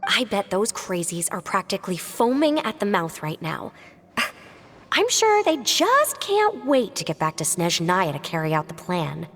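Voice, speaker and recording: A woman's voice: medium pitch, huge pitch swings, measured pace, a bit bright, heavily acted. A woman voicing a bored, snide young agent who drawls dismissively, with long hall reverb over game music.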